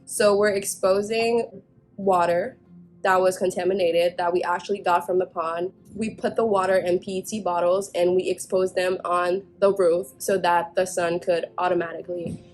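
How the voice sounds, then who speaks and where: A woman's voice: lowish voice, steady tone, normal speed, darkish tone, soft background music. A young woman student from Haiti, talking earnestly and reflectively about her research. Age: young adult.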